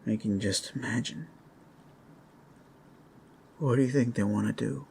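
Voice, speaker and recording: A man's voice: mid-range voice, measured pace, creaky, darkish tone. A man playing an anxious, grieving raccoon father in a fantasy roleplay, speaking in a hesitant, emotional way. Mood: distraught. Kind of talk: dramatic roleplay audio acting. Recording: faint storm noise behind. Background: thunder and storm ambience.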